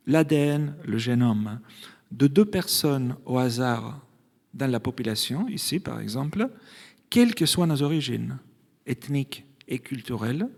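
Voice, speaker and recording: A man's voice: medium pitch, creaky, darkish tone. A man who is not a native speaker of the language, a geneticist explaining his field in a public debate, stumbling and repeating words now and then. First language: not French. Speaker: geneticist panelist. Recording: reverberant hall sound.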